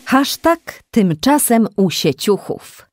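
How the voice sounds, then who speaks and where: A woman's voice: medium pitch, big pitch swings, slow pace, over music. A woman narrating a children's radio play as a scripted storyteller.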